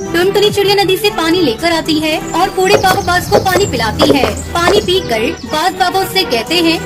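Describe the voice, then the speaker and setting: A woman's voice: very high-pitched, moderate pace, over loud music. A woman narrating a scripted children's moral story as a storyteller.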